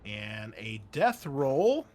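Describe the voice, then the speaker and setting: Male game master, medium pitch, casual and thinking out loud, music underneath. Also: big pitch swings, very slow. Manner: casual, thinking aloud through rules. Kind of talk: running a tabletop roleplaying game. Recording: music behind.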